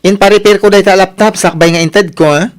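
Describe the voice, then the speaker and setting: An actor playing a brazen, evasive, flamboyant borrower in an Ilocano radio drama, dismissive and quick to exclaim indignantly. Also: male voice, high voice, fairly even intonation, lightly acted. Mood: defiant.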